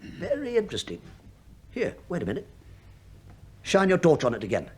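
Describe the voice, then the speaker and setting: Wry British investigator, very lively intonation. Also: male voice, a little high, moderate pace. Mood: wry, intrigued. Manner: dry joking, casual. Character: wry, breezy male investigator bluffing his way in. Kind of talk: British radio play acting.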